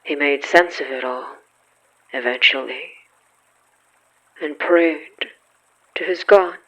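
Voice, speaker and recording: Male storyteller for horror fiction: slightly high voice, unhurried and solemn, pausing for effect, on a slightly muffled recording. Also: a bit bright. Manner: solemn, measured, with dramatic pauses. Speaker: narrator of a horror fiction podcast. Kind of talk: scripted literary narration.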